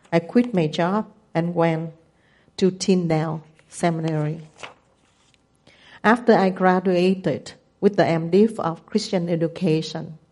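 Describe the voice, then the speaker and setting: Slow, mellow Vietnamese-accented woman in her sixties. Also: on the low side. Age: 60s. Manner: measured, careful non-native phrasing. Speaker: missionary. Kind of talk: mission testimony presentation.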